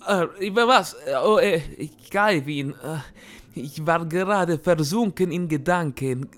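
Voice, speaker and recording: A bumbling middle-aged plumber hero, as a man plays him with a thick mock Italian accent and a high voice. He stammers and mangles his grammar like a cartoon comedy character. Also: measured pace, close to an everyday voice. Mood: flustered. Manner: stammering, flustered, broken grammar. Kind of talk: comedy sketch acting.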